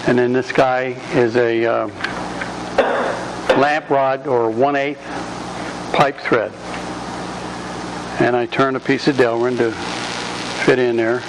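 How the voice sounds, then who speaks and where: A man giving a live workshop demonstration in a club meeting room, projecting his voice loudly and rambling along with frequent ums. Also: mid-range voice, steady tone, takes it slowly, pauses often. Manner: loud, projecting without a speaker system. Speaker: woodturning demonstrator. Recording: noisy room recording.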